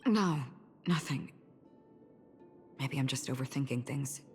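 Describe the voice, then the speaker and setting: Very low-voiced, enigmatic warrior woman. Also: animated, slow, darkish tone, full character voice. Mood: guarded. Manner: composed, solemn, understated. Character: cool, enigmatic, reflective female wandering warrior in a fantasy game. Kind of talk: scripted video game voice acting. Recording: reverberant hall, game music behind.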